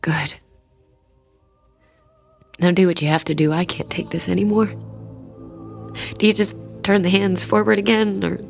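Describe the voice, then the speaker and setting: Weary, low-voiced, gravelly wife. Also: female voice, fairly even intonation, a little slow, long pauses, soft background music. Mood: resigned. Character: weary, resolute wife bargaining with a supernatural tormentor. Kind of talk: radio drama acting.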